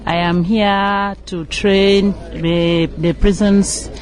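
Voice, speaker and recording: A woman's voice: medium pitch, fairly even intonation, extremely slow. Female management lecturer, Ugandan accent, formal spoken interview answers.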